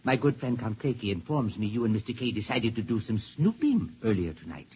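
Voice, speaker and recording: An actor playing a cold, sinister doctor who speaks formally and with measured menace in a 1950s radio drama. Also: male voice, lowish voice, very animated, darkish tone, husky, exaggerated voice. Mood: menacing. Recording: dull, noisy vintage radio recording.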